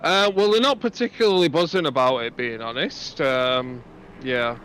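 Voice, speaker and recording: A man's voice: mid-range voice, moderate pace, a bit bright, a little hiss or hum, natural voice. A man with a Manchester accent, a sim racing driver joking through a mid-race interview. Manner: laid-back banter, laughs often.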